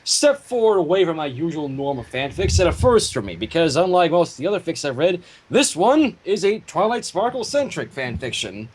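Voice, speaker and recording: A man's voice: a little high, big pitch swings, normal speed, hardly any pauses, breathy edge. A man chatting casually on a livestream as a fanfiction reader, with a playfully theatrical, mock-courtly way of talking.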